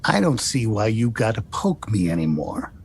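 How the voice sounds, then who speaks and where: A man's voice: big pitch swings, normal speed, gravelly. A man playing a cranky, stubborn eighty-two-year-old patient who grumbles and wisecracks in a screenplay reading. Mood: grumbling. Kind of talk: screenplay table read. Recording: audible low rumbling noise.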